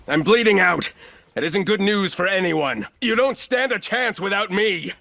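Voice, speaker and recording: An actor playing an arrogant, ruthless, calculating corporate villain in a video game, speaking in clipped, condescending lines. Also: male voice, sits high, moderate pace, creaky, pushed and bright, exaggerated voice. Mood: urgent, strained.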